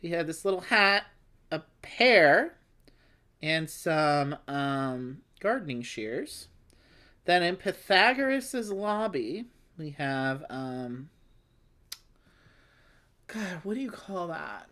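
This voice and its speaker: A woman's voice: low-pitched, sing-song, takes it slowly, pauses often, a bit bright. A woman hosting a board game livestream, chatting casually with playful, wry asides and sounding slightly phlegmy. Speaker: host of a board game play-along livestream. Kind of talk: casual chat with read-aloud game text.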